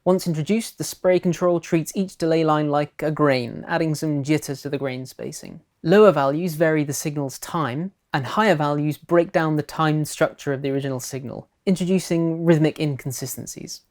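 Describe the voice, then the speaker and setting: A woman presenting a software tutorial in scripted narration. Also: low voice, moderate pace, hardly any pauses.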